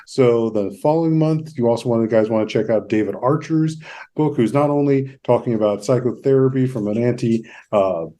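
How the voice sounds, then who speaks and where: A man's voice: mid-range voice, a little fast, warm tone. A man hosting a podcast in a casual interview style. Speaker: podcast host.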